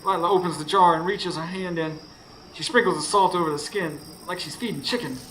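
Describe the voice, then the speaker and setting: An actor playing an anxious, determined husband who narrates a ghost hunt in a folksy, urgent way in a live radio drama. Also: male voice, high voice, fairly quick, close to an everyday voice. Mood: tense, determined. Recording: live stage recording, clear background noise.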